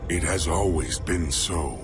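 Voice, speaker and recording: An actor playing a devout, solemn alien warrior in a game, grave, formal and troubled by doubt. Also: male voice, low voice, unhurried, over music. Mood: solemn deference. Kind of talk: scripted game cutscene dialogue.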